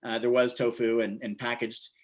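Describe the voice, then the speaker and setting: A man's voice: mid-range voice, little pitch movement, moderate pace. Rambling American man in his forties. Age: 40s. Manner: rambling, repeats words and phrases. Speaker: interview guest, vegan bodybuilder and author. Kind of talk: casual interview storytelling.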